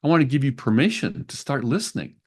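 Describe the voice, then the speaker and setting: A man co-hosting a radio show, explaining self-help and spiritual ideas in a measured way. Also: medium pitch, sing-song, a little mellow, breathy edge.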